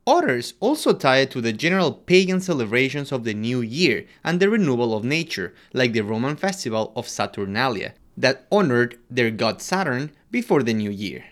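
A man from Ecuador co-hosting a podcast, reading a scripted history segment in an expressive way. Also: normal speed, few pauses. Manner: animated, expressive delivery with wide pitch swings. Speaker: podcast co-host.

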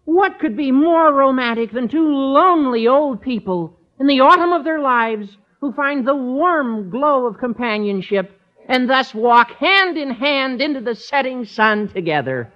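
An eager teenage boy from a 1950s radio comedy. His squeaky, very high voice swoops wildly as he gushes politely, on a dull old recording before a studio audience. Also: expressive, measured pace. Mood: gushing. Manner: gushing, over-polite, breaks into nervous laughter.

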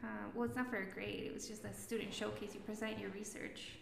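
A woman's voice: medium pitch, little pitch movement, fast talker, gravelly, a little hiss or hum. A young woman with a Mexican accent, chatting casually as a social worker guest who says "like" a lot. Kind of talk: casual podcast chat. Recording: echoey room.

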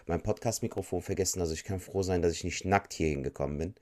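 A man's voice: sits low, fast talker, dark tone. A man co-hosting a podcast on a borrowed mic, tired after a busy week, chatting casually in slang. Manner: casual and slangy, says "Alter" a lot. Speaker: podcast co-host. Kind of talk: casual chat. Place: at his in-laws' home.

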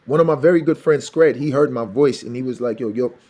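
A man from Benin, West Africa, who sings for a living and has a distinctly raspy voice. He chats in an animated, storytelling way, laughing often, at an everyday middle pitch. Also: fairly quick. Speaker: singer and songwriter guest. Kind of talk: casual interview chat.

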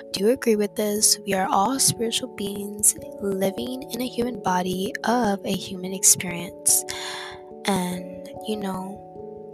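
Reflective young woman hosting a podcast. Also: medium pitch. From Dominican family background. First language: English. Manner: soft-spoken, reflective, repeats herself for emphasis. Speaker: podcast host. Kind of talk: casual solo podcast monologue. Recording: music playing underneath.

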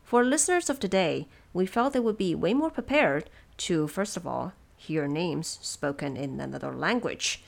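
A woman hosting production notes, explaining things in a measured, semi-scripted and lightly wry way. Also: on the low side, lively intonation, creaky. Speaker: host of production notes for an audio drama.

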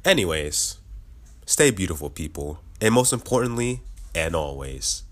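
A man hosting a casual podcast outro, upbeat and chatty, laughing often, a little sick so his voice sits slightly lower. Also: sing-song, unhurried. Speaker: podcast host.

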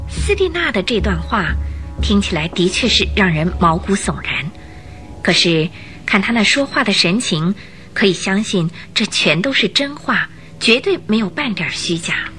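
A woman's voice: medium pitch, big pitch swings, moderate pace, pushed and bright. A woman narrator reading scripted storytelling narration for a radio drama. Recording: radio drama with music behind.

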